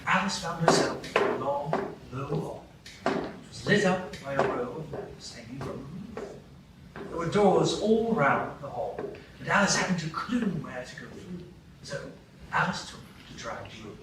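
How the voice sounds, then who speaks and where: A man narrating a storybook radio play in an expressive, sing-song way. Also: on the high side, measured pace, recorded from a distance. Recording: echoey room, mic from afar, noisy.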